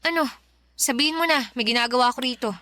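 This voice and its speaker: High, bright exasperated girlfriend. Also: fast talker, no room sound. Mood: stressed. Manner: nagging, reproachful. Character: exasperated, hardworking girlfriend, practical and fed up. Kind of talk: radio drama acting in Filipino love story.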